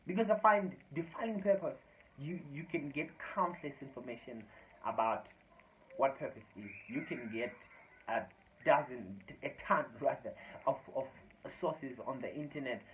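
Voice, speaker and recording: A man's voice: medium pitch, expressive, normal speed. A man in his twenties whose first language is Shona, giving an earnest motivational talk to his channel's viewers and repeating phrases for emphasis. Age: 20s. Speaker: YouTube channel host. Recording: dull lo-fi sound with faint noise.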